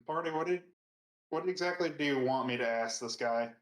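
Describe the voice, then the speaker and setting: A man's voice: on the high side, brisk. A man playing a tabletop roleplaying game, talking casually as he describes his character's actions. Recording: roomy, echoing sound.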